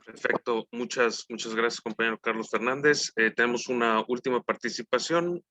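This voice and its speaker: A man's voice: medium pitch, fairly even intonation, normal speed, pushed and bright, dry recording. A man moderating a panel, courteous and formal as he passes the floor to speakers.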